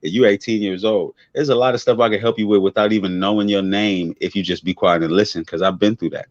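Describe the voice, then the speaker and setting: Low-voiced guy in his 40s, laid back, cusses a bit, rambles, dry muffled video-call sound. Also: steady tone, quick pace, no room sound. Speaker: co-host. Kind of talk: casual chat. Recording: dull lo-fi sound.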